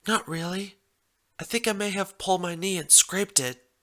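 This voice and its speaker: An exasperated, embarrassed mare nurse from a pony story, acted out by a man. The voice is lifted high and bright, with hesitant protests from someone hurt who needs help. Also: moderate pace. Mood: pained, sheepish.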